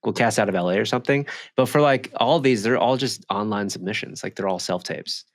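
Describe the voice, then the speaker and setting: A man's voice: lowish voice, brisk. A young man co-hosting a podcast in casual, slangy chat full of bro and dude. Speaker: podcast co-host.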